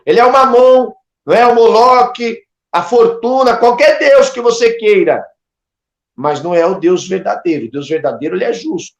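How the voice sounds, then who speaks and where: A man's voice: a little high, echoey room. A man with a Brazilian Portuguese accent preaches informally and directly to listeners, admonishing them emphatically with repeated phrases and rhetorical questions. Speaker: preacher hosting an online ministry channel.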